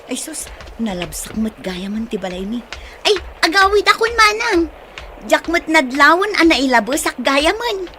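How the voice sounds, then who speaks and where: A woman's voice: high-pitched, very animated, normal speed, pushed and bright, slight background noise, heavily acted. A woman acting an anxious, easily startled young woman with an Ilocano accent in a radio drama. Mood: playful, weary.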